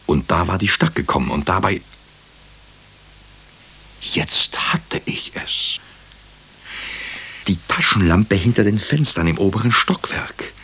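An actor playing a wry, brooding hospital doctor who tells a murder mystery in a German radio drama, in measured, introspective, clipped phrases. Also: male voice, low-pitched, very animated, vocal fry, sharp tone, husky, heavily acted. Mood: tense searching. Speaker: narrator and lead character. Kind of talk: German radio drama, first-person crime narration.

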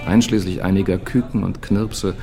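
Deep, creaky male narrator. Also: steady tone, normal speed, over music. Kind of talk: scripted literary narration in audio drama.

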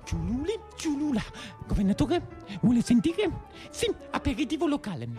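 Casting: overexcited fawning servant, very high swooping voice, fussy and sing-song, comic radio theatre with music behind. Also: male voice, very animated, normal speed, dark tone. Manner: obsequious, exclaiming, sing-song.

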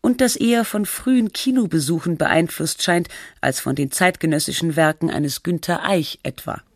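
Radio feature narrator: scholarly, scripted, higher-set voice, very dry recording. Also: male voice, normal speed, no room sound. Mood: measured, factual. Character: scholarly radio documentary narrator discussing an old audio pioneer. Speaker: documentary narrator.

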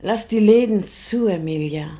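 An actress playing a dreamy, pensive lady in a radio play, musing in a hushed, deliberate way. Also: mid-range voice, natural voice. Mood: languid, dreamy. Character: dreamy, pensive lady confiding in her maid. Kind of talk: German radio play acting.

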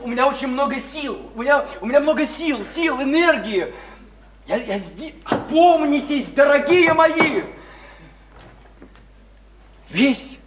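A very high-pitched male idealist, preaches in a theatrical radio drama. He stammers and repeats himself, building grand, fervent oratory. The recording is echoey, with noise behind the voice. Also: a bit bright, exaggerated voice. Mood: fervent, indignant, then anguished. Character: impassioned idealistic newcomer preaching reform to townswomen. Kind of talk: theatrical radio play acting. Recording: noisy, echoey stage-like radio play recording.

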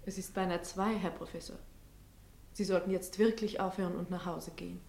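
A woman playing a shy, gentle nurse in a radio play, speaking softly and hesitantly. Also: lowish voice, steady tone, a little fast, vocal fry, breathy edge. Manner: soft, hesitant, often hushed. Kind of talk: 1950s radio play acting.

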